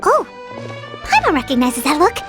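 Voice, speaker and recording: An actress voicing a tiny, childlike, chatty fairy companion in a video game, chirpy and squeaky. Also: female voice, huge pitch swings, normal speed, music in the background. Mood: curious, chirpy. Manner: squeaky, chirpy, speaks of herself in third person.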